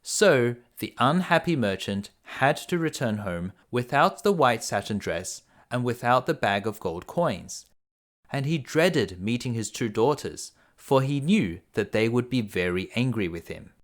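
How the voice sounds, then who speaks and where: A man reading a children's fairy tale aloud as a storyteller, with expressive, deliberate phrasing. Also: medium pitch.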